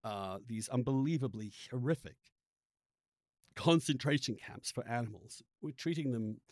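A man hosting an interview podcast, speaking reflectively and earnestly in halting, emphatic phrases that often break off and restart. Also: medium pitch, a little mellow, no echo, clean studio sound. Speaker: podcast host interviewing a guest.

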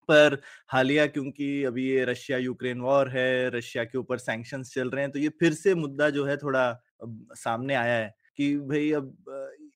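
A male podcast host in relaxed conversational discussion. His delivery is colloquial and jocular, and he works his points out aloud, at a middling pitch. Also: measured pace.

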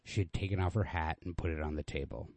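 A man with a low, mellow voice, recorded close and dry. He sounds informal and unrehearsed, like a host casually reading a story aloud. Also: quick pace, dry recording. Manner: informal, self-deprecating, unrehearsed.

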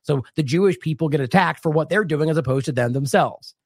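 News host, male, somewhat high pitch, rambling explainer who reads quotes aloud, dry booth sound. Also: fairly quick, breathy edge, no room sound. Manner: discursive, self-correcting, reads quotes aloud. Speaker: independent news show host. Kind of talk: commentary over played clips.

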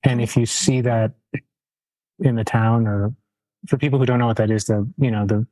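A man's voice: medium pitch, fairly even intonation, moderate pace, mellow, rough recording. A man hosting a casual interview, talking in long rambling sentences with restarts. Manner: rambling, many restarts and trailing phrases. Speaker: interview host.

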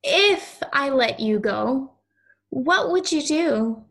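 Woman playing a high-voiced, stubborn young girl. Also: expressive, slow pace, vocal fry, a bit bright, heavily acted. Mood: saddened, questioning. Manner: childlike, earnest, insistent. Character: lonely, stubborn, possessive ten-year-old girl. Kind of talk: audio play acting.

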